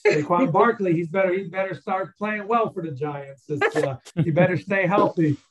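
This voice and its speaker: Male podcast host, somewhat high pitch, relaxed interviewer, hesitant with fillers. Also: moderate pace, echoey room, crowd noise. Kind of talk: casual interview chat.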